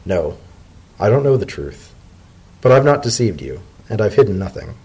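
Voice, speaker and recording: A man's voice: on the low side, sing-song, moderate pace, mellow, husky, rough recording. A man reading the part of a male pony government secretary in a storybook tale, polite, earnest, formal and deferential. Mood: earnest, candid.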